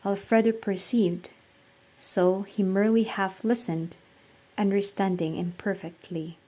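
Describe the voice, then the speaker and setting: A woman's voice: medium pitch, fairly even intonation, measured pace, dark tone, poor audio. A woman reading scripted story narration for a radio drama.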